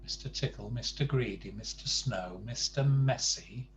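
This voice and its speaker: An older man reading a bedtime story to grandchildren, expressive, with chatty asides and questions to the young listener. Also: medium pitch, unhurried, slight background noise.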